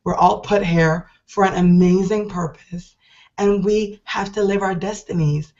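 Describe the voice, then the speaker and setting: A middle-aged woman hosting an online show, hoarse and deep-voiced from a cold, talking in a chatty, rambling, enthusiastic way. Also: fairly even intonation, a little slow, a little mellow, lo-fi sound. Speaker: host. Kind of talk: casual online show talk.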